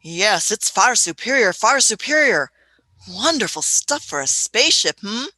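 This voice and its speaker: Woman acting as an eccentric old time traveller, musing hmms, slow lively delivery, bright tone, dry sound. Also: mid-range voice, no room sound, heavily acted. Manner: muttering hmms, musing, hesitant restarts. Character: the Doctor, eccentric elderly time traveller, curious, fussy. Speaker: amateur radio play actor.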